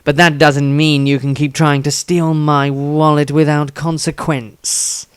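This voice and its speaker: A man's voice: a little high, level tone, unhurried, clear, resonant tone, no room sound, clean studio sound, voice pushed up, natural voice. A man voicing a sardonic, grudging male adventurer with a sneering manner in a fantasy story reading.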